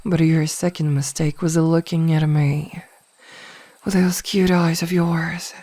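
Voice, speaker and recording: A woman playing a possessive, teasing mage in an ASMR fantasy roleplay, speaking softly and coaxingly with condescending endearments. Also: sits low, little pitch movement, slow, no echo. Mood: teasing, confident. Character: possessive, teasing female mage hypnotizing a burglar.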